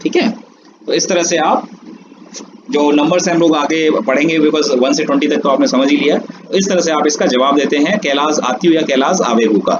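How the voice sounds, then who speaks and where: A man teaching a French lesson, explaining slowly and drawing words out. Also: on the high side. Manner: slow, drawn-out explanations mixing Hindi and English. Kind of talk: online language lesson. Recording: dull lo-fi sound with steady background noise.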